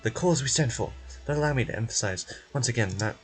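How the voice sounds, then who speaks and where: A formal, businesslike research official in a fantasy game, voiced by a man. The delivery is polite and wordy, at a middling pitch with animated inflection. Music sits softly behind. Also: quick pace, close to an everyday voice. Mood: officious, earnest.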